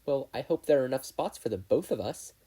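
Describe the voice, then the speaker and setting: A reader voicing a bright, friendly, playful teenage girl student in a fan fiction audiobook. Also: mid-range voice, expressive, a little fast, slightly rough, pitched above the performer's own voice. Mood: cheerful. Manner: upbeat, earnest, playful.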